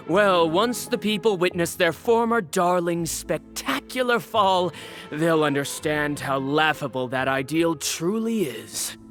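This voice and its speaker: A scornful fashion rival from a video game. His voice sits high for a male and has a bright, cutting edge. He talks down to everyone with theatrical, jaded bitterness, over a musical backdrop. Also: lively intonation, takes it slowly, faint music behind. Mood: mocking, bitter. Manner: theatrical, sneering, condescending.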